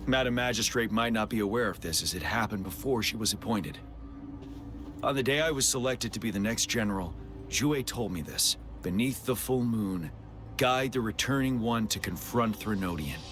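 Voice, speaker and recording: A man playing a composed, dutiful army general in a game, speaking in a formal, measured, solemn way. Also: fairly low pitch, music in the background, close to an everyday voice. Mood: grave, solemn. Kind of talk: English game cutscene voice acting.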